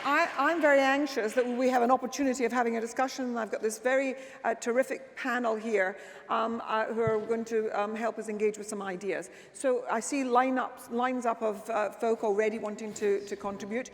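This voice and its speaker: A woman with a fairly high voice chairs a panel debate in a big hall. She often repeats her opening words before a thought comes out. The sound carries a long reverb. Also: fairly even intonation, fairly quick. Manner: hesitant, repeats words before getting going. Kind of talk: moderating a live panel discussion.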